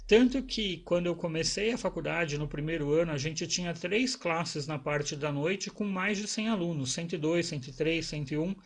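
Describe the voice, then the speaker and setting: A man, a psychologist talking casually to camera about his own life, in a conversational, rambling way with restarts and tag questions. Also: on the high side. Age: 40s. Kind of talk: casual personal talk to camera.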